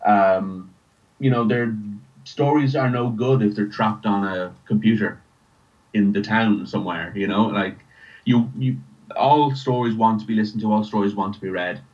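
Deep-voiced Irish man, slightly muffled recording. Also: normal speed. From Ireland. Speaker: writer and podcast creator, interview guest. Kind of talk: casual interview conversation.

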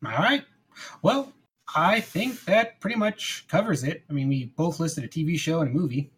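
A man's voice: on the high side, moderate pace, fairly bright tone, breathy edge. A man co-hosting a podcast in casual, conversational chat. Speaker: podcast co-host.

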